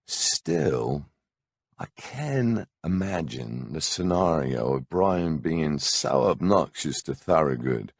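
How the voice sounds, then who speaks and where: A man with a gravelly, husky voice narrates a memoir audiobook in a laid-back, blunt, wry, conversational storytelling way on a close microphone. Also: rumbling low, no room sound.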